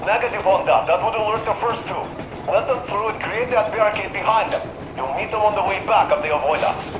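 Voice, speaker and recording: A man's voice: sits high, fairly quick. A man playing a dutiful, matter-of-fact soldier speaking over a field radio in a video game. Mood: crisp, dutiful. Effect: radio transmission. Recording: noisy, echoey field radio.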